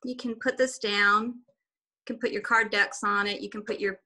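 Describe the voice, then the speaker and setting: A woman's voice: medium pitch, steady tone, moderate pace, pushed and bright. A woman whose first language is German and Swiss German, chatting casually as a guest on a video call, rambling a little with restarts. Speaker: guest artist.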